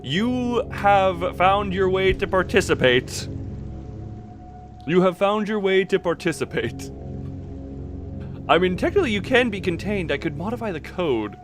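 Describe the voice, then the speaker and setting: A higher-pitched man hosts a livestream in a very lively, exclamatory way. He chats casually and sounds amused and playfully exasperated, with clear music playing behind him. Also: unhurried, pauses often. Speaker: livestream host. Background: loud background music.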